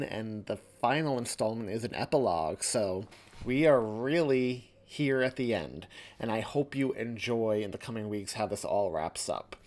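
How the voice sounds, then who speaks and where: A man hosting a podcast, addressing listeners in an earnest, appreciative way. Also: medium pitch. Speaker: podcast host and author.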